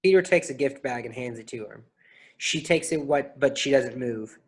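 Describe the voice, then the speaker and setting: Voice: medium pitch, moderate pace. A person reading stage directions for a play, in scripted narration style.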